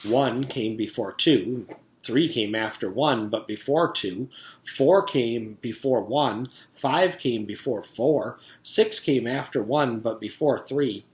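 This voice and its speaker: A man reads a children's story aloud in a mid-pitched voice. He narrates as a teacher would for pupils. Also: slow, poor audio.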